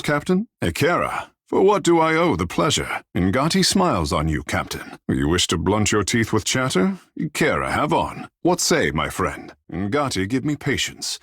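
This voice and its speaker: An actor playing a flamboyant, self-admiring godlike water shaper in a fantasy video game, speaking in a grandiose, playful, theatrical manner. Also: male voice, on the low side, gravelly, fairly bright tone, husky, no echo, studio quality, exaggerated voice. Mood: playful, grand.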